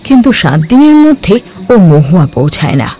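A man's voice: high voice, sing-song, moderate pace, warm tone, faint music behind. Man narrating a cartoon folk tale. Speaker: storyteller narrator. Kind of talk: scripted storybook narration.

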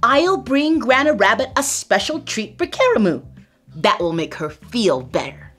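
A woman voicing an eager, earnest little boy rabbit, the youngest in his family, in a high pleading voice. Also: very animated, measured pace, fairly bright tone, slightly rough, soft background music, heavily acted.

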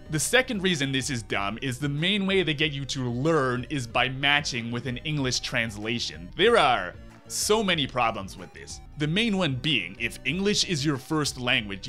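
Sarcastic young man, very animated and bright. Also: medium pitch. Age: 20s. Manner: sarcastic, ranting, exasperated. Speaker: YouTube reviewer, host. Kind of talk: scripted comedic review commentary. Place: small room at home, indoors. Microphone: close desk microphone.

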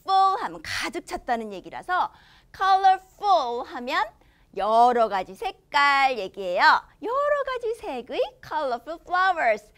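A woman in her thirties teaches young children English in a studio. Her voice sits high and swoops up and down. She stretches out each English phrase and speaks with an unhurried, kid-friendly cheer. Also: big pitch swings, fairly bright tone. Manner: slow, exaggerated English read-aloud, with cheerful Korean explanations to kids. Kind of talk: children's English lesson, reading a storybook. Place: studio in front of a green screen.

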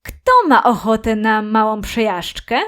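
A woman's voice: a little high, steady tone, unhurried, smooth, lightly acted. A woman voicing a jolly, caring father with a hearty, playful manner in a children's fairy tale.

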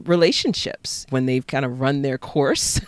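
A woman's voice: a bass voice, expressive, a little slow, dry recording. An American woman co-hosting a podcast, chatting casually and conversationally about books. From Jacksonville, United States. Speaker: podcast host and author. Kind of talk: casual book chat.

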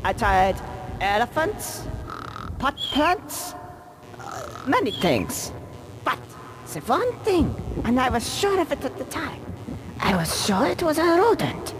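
A man playing an excitable, pedantic weasel scientist with a German accent in a video game. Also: very high voice, slow, background noise, full character voice. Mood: pedantic lecturing. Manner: pedantic, theatrical lecturing. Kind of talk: video game cutscene acting.

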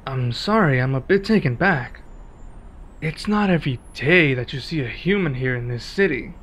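A man acting a one-sided ASMR roleplay as a laid-back, friendly werewolf local, speaking casually and in a measured way with pauses. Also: mid-range voice, animated, fairly bright tone.

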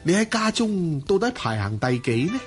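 A man with a Hong Kong Cantonese accent playing a smooth, lecherous, wealthy merchant in a radio drama, coaxing and flirting. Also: high voice, sing-song, moderate pace, over music. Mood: flirtatious coaxing.

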